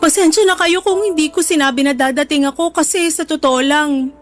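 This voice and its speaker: Actress as guilt-ridden Filipino mother, very high tearful voice, begging forgiveness, melodramatic radio play over music. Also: a little fast, close to an everyday voice. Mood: tearful, remorseful. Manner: pleading. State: crying. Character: remorseful, weeping middle-aged mother begging forgiveness. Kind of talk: Filipino radio drama acting.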